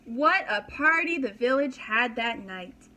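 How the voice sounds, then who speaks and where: A woman's voice: on the high side, animated, a little slow, pushed and bright, a little hiss or hum. A woman reading a children's story aloud as a preschool teacher, dramatically and expressively, with simple explanations for young listeners.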